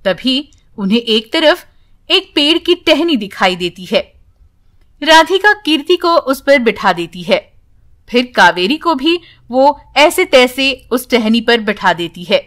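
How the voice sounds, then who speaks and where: Female children's story narrator: very high voice, unhurried scripted read. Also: sing-song, a bit bright.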